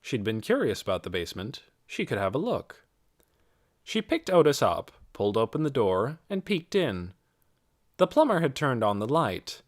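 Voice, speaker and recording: Male storybook narrator, scripted read, highly varied and expressive pitch. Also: normal speed. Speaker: audiobook narrator.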